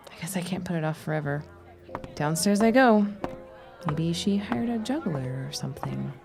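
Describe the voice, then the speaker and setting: Wry young bride, lowish voice. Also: female voice, animated, normal speed, creaky, over music. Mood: resigned, wry. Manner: dry, teasing, suspicious. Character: wary, wry young 1910s bride, stubborn daughter. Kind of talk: period audio drama acting.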